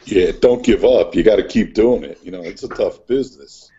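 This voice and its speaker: A man with a slight New York accent and a husky voice, chatting casually as a voice actor. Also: fairly low pitch, moderate pace, warm tone. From northeastern United States. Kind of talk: casual chat. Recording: dull lo-fi internet call.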